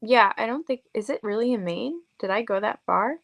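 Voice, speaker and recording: A woman's voice: on the high side, expressive, a little fast, creaky, fairly bright tone. A woman with a Long Island accent chatting casually as a show guest, in a playful, self-deprecating way. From Long Island, New York. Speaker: podcast guest, model and horror hostess. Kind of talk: casual chat.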